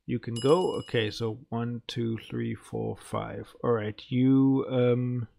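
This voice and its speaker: Slow-talking man with a creaky voice. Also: medium pitch, little pitch movement, warm tone. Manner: muttering, thinking aloud. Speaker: gaming video host. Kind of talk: casual let's play commentary.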